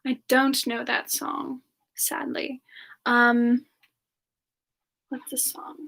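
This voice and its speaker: A woman hosting a semi-ASMR question session, chatting casually in a soft-spoken, gentle and affectionate manner. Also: fairly high pitch, completely flat delivery, extremely slow, vocal fry. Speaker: ASMR channel performer hosting a live Q&A in character.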